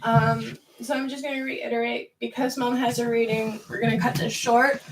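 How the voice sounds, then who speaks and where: A woman co-hosting a live stream, reading viewer questions slowly and hesitantly. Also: fairly high pitch, flat delivery, distant mic, a little hiss or hum, low-quality recording. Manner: slow, hesitant, with fillers.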